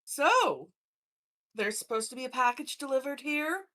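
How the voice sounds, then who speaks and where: Female roleplayer, fairly high, very animated pitch, casual game table talk. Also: unhurried. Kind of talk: casual game chat with in-character lines.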